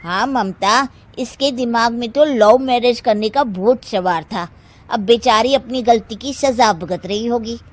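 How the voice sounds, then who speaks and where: A catty Bengali relative from a cartoon story voiced by a woman, full of gossip. She delivers mocking taunts in a very high voice, taking her time over the words. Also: lightly acted. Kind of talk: animated story dialogue.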